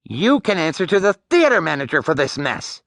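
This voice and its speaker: A man's voice: on the high side, sing-song, brisk, vocal fry, a bit bright, no room sound, studio quality, exaggerated voice. A man playing an exasperated, blustering stage manager in a full-cast audiobook, indignant and sputtering.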